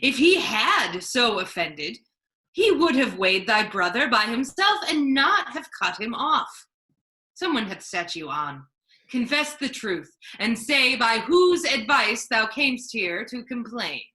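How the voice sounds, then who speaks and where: Lady playing a bossy sardonic duke in shakespeare, slow and forceful, big pitch swings. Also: very animated, full character voice. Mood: stern, indignant. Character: imperious duke, a ruling man, sardonic judge. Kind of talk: staged reading of Shakespeare.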